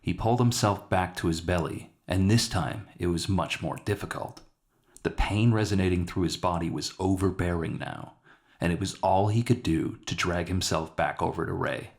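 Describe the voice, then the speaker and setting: A man's voice: low-pitched, normal speed, vocal fry. A man reading scripted fiction as the narrator of his own short story.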